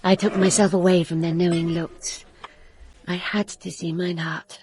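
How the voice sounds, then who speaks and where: An actress playing a guarded young bride-to-be who works in a garage, reflective and controlled, in a radio drama. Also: female voice, lowish voice, little pitch movement, measured pace, darkish tone, slight background noise, close to an everyday voice. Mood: brooding, controlled. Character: young garage-working bride-to-be, guarded, suspicious, narrating her betrayal. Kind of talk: radio drama acting with confessional narration.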